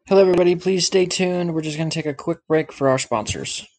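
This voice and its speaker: A man's voice: fairly high pitch, fast talker, a little mellow. A man hosting a podcast, addressing listeners in a casual promotional style. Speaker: podcast host.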